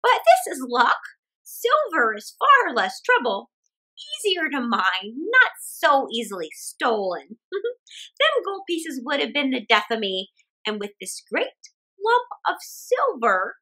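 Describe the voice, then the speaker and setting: A cheerful old country woman from a children's storybook, acted out by a woman reader, giggly and endlessly optimistic. Her voice is very high and bright and swoops wildly as she exclaims, with music behind. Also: wildly swooping pitch, slow pace, full character voice, low-quality recording. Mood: surprised, cheerful.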